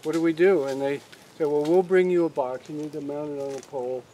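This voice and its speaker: A man's voice: a little high, steady tone, fast talker, warm tone, a little hiss or hum, rough recording. Older man rambling on an outdoor farm tour. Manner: rambling, frequent restarts and fillers. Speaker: farm owner giving a tour. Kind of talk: casual outdoor tour talk. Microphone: camera among a standing group.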